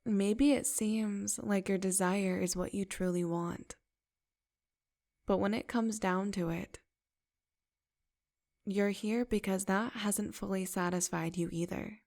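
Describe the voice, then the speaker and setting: A woman leading a guided meditation in a soft, unhurried, affirming way. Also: medium pitch, steady tone.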